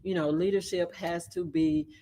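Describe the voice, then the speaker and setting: A soft-spoken woman of middle age talks on a video call, her voice sitting low. She tells her story haltingly, circling back over words before going on, and laughs easily. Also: steady tone. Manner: halting, with many restarts and laughs. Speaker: talk show guest. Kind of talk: casual storytelling interview.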